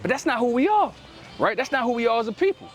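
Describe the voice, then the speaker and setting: A man's voice: extremely high pitch, very animated, fast, faint music behind. A man preaching outdoors in street teaching style, ending nearly every sentence with "right?" and telling a helper to read. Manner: commanding, calls on the reader to read. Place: outdoors in a city.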